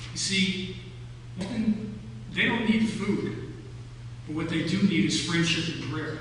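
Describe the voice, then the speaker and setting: Older man, preacher. Bright, forceful voice, measured sermon delivery, heard from far off in a reverberant church. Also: on the high side. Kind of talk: sermon, telling stories from notes. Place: large church sanctuary, at the pulpit. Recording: echoey church hall from far off, hum.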